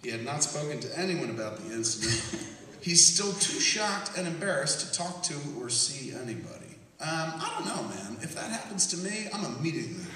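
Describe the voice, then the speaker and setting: Animated profane man in an echoey hall. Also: fairly high pitch, brisk. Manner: animated, profane, laughs through his own jokes; reads news aloud and acts out quoted voices. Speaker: podcast host. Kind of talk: live comedy podcast riffing on a news story. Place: large hall with live audience. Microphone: stage mic heard via handheld camera in the audience. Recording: echoey hall from far off with loud noise.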